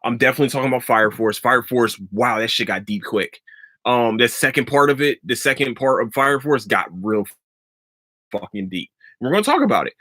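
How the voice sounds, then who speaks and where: A man hosting a solo podcast, talking in a rambling, profane and excitable way and laughing often, a little stuffy from allergies. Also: medium pitch, brisk, no echo, studio quality. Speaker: podcast host. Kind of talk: solo casual podcast talk.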